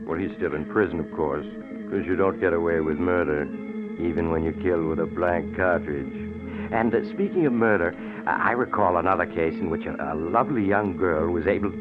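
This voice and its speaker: Man acting macabre storyteller host, very low voice, wry, sly, vintage radio narration, thin sound, music behind. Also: moderate pace, phone-quality sound, natural voice. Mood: sly amusement. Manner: wry, ghoulish, conversational.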